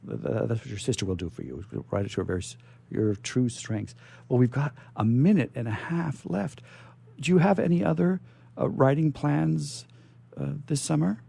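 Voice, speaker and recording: A man hosting a live radio interview, chatting in a halting way and often repeating words as he goes. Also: medium pitch, vocal fry, warm tone, breathy edge. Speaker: radio show host.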